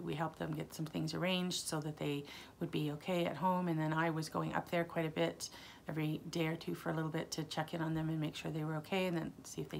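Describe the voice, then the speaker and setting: A woman chats through her knitting podcast in a low, gravelly voice, circling back with plenty of ums and mid-sentence fixes. A lingering chest cough breaks in now and then, over a faint hiss of background noise. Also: fairly bright tone. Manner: rambling, many ums and self-corrections. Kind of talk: casual solo podcast chat.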